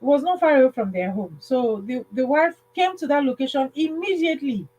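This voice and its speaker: A woman hosting a livestream, casually telling a story in a halting way, repeating phrases and checking in with listeners. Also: high voice, expressive, a little mellow, rough recording. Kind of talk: casual spoken storytelling with advice.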